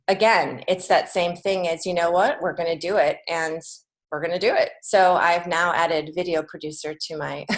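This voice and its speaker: An American woman giving casual, encouraging answers as an author being interviewed on a podcast. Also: on the low side. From United States. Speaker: podcast guest, children's book author and creative writing teacher.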